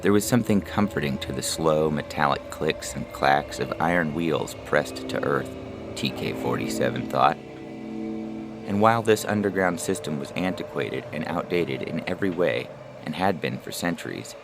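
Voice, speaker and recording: Very deep-voiced male narrator over music. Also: animated, normal speed. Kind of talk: scripted short story narration. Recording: loud music under the voice. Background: loud music.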